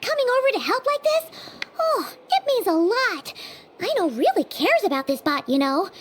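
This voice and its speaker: An actress voicing a tiny, kindly fairy companion with a squeaky, childlike, perky voice in an anime game. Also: female voice, big pitch swings, normal speed. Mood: coaxing, grateful. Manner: perky, speaks of self in third person. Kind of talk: English anime game voice acting.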